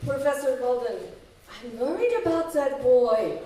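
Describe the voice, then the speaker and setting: Lady doing a fretful scandalised housekeeper in a radio horror play, high voice, really echoey. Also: a little slow, mellow. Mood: worried, horrified. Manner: dramatic, worried, indignant. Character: anxious, fretful housekeeper Madame Maurice, scandalised. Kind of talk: old-time radio horror drama acting. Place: live stage show in a community hall. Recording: echoey community hall, far from mic.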